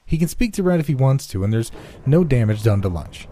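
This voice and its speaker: A man's voice: medium pitch, big pitch swings, brisk, warm tone, voice pushed up. A man voicing a protective, worried mother in a story, stepping in with assertive concern. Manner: concerned, intervening.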